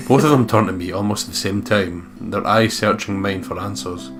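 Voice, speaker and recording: A man's voice: lowish voice, normal speed. A man doing a let's play, reading game narration aloud and commenting casually, with guitar music behind him. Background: game soundtrack with guitar.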